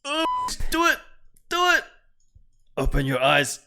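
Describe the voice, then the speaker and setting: A man hosting a let's play, reading game text aloud and reacting in a casual, expressive way. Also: very high voice, slow, gravelly, background noise. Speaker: gaming video host. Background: game music.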